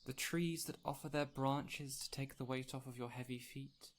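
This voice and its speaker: A man playing an ancient, whimsical fey spirit in an audio roleplay, speaking in a gentle, courtly, theatrical way with lingering pauses. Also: mid-range voice, fairly even intonation, slight background noise, close to an everyday voice. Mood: gentle, coaxing. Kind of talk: audio roleplay addressed to the listener.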